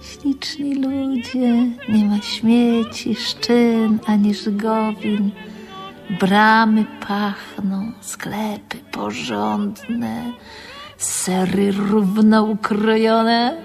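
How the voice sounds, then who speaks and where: Radio play: coarse, dreamy woman guard, high voice, unhurried, halting, colloquial, hopelessly in love. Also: darkish tone, faint music behind. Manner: halting, repeats words, colloquial. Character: lovesick coarse female shop security guard, dreamy. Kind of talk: radio drama acting.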